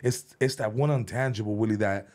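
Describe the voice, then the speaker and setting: A man's voice: lowish voice, a little fast, darkish tone. A man talking as a podcast guest in casual chat, rambling with many restarts and repeated words, and swearing freely. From United States. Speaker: comedian and music video director, podcast guest.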